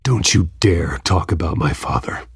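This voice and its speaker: An actor playing a guarded, brooding wealthy heir in a video game, terse and dry, with a gravelly, husky voice. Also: male voice, deep voice, expressive, normal speed, no echo, full character voice. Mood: cold. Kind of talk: video game dramatic acting.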